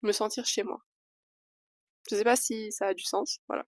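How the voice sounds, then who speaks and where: A woman's voice: medium pitch, fairly even intonation, normal speed, dry recording, clean studio sound. A young woman from Switzerland chatting candidly to camera in a casual vlog, with hesitant fillers between thoughts. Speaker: vlogger.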